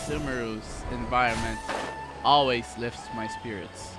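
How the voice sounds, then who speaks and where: Male let's-play host: unhurried, playful, repetitive, average pitch, game music clearly behind. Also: steady tone. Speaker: video game streamer. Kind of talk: casual let's-play commentary.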